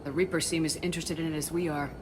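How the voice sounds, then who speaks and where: A woman's voice: sits low, steady tone, very fast, noisy background, close to an everyday voice. An actress playing a steely but compassionate female space commander in a video game, with a terse, authoritative manner. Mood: steady, businesslike. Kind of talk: cinematic video game dialogue.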